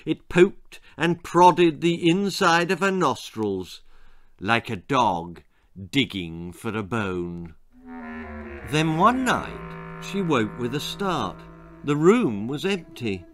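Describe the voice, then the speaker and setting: Man telling stories with animated swooping delivery. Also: medium pitch. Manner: dramatic, comic, emphatic with pauses. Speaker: author reading their own story. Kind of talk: theatrical children's storytelling, scripted narration.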